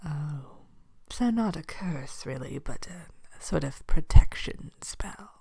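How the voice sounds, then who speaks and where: Woman playing a slow, whispering evil queen. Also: low-pitched, animated, exaggerated voice. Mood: soft, businesslike. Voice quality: soft, breathy whisper. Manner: slow, genteel, playfully sinister, hesitant murmuring. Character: sly, courteous Evil Queen shopkeeper of enchanted edibles. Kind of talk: ASMR roleplay.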